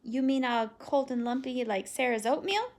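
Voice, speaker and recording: A woman's voice: sits high, lively intonation, moderate pace. Patient joking dad, dry and kind, voice bouncing around, read by a woman. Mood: gently teasing. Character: calm, teasing father, a lawyer.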